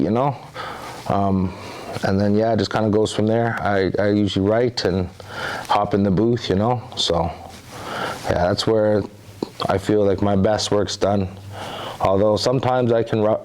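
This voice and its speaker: A man's voice: fairly low pitch, a little mellow. A man from the Bahamas, a rapper, talking about his music in a loose, laid-back way. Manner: laid-back, loose, often says "you know". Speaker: rapper guest. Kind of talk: casual interview talk.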